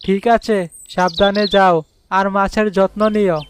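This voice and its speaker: A man's voice: high voice, little pitch movement, a little slow, slight background noise. A man voicing a friendly village seller of young fish who gives advice in a cartoon fairy tale. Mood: friendly, advising. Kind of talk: animated fairy tale voice acting.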